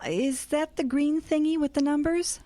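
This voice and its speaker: A woman's voice: high voice, fairly even intonation, normal speed, warm tone, lightly acted. A woman playing a cheerful, naive, scatterbrained older grandmother in an audio drama. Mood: breezy. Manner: airy, breezy, scatterbrained. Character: cheerful, naive hippie grandmother starting a small newspaper.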